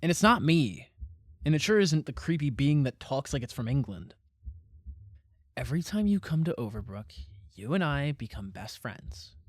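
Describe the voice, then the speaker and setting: A man's voice: mid-range voice, no room sound, clean studio sound, natural voice. An actor playing a patient but wounded young man in an audio drama, talking in a natural, dryly wry and hesitant way.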